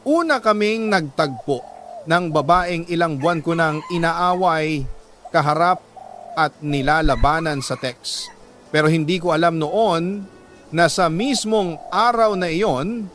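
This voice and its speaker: A Filipino man with a fairly high voice narrates a first-person drama in an earnest, measured way. He leaves dramatic pauses between short phrases. There is some noisy ambience behind his voice. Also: fairly bright tone. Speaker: radio-style storyteller reading a letter sender's love story.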